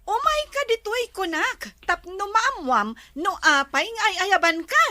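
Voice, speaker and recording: An actress in a radio drama playing a bossy, impatient, haughty young madam who snaps out orders. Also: female voice, very high voice, big pitch swings, unhurried, bright, forceful tone, exaggerated voice. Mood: impatient, commanding. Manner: imperious, snapping orders. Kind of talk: Ilocano radio drama acting.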